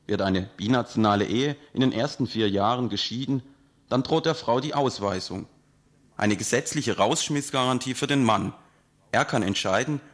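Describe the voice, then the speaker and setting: Man reading a measured radio report. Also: medium pitch. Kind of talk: scripted radio report narration.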